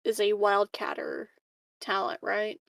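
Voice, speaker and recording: A woman's voice: medium pitch, completely flat delivery, unhurried, gravelly, fairly bright tone, no room sound, poor audio. A woman chatting casually as a player in a tabletop roleplaying game.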